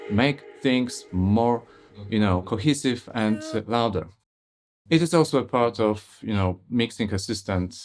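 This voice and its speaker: Polish-accented man demoing audio software. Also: medium pitch. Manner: relaxed, explanatory, with frequent "uh" and "you know". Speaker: audio plugin reviewer. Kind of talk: casual software review and demo.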